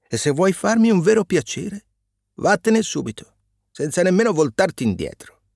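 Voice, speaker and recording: A man voicing a cheeky, defiant wooden puppet boy in a storybook reading, loud and pushy. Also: sing-song, moderate pace, creaky, no echo, clean studio sound, full character voice. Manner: loud, pushy, high-pitched.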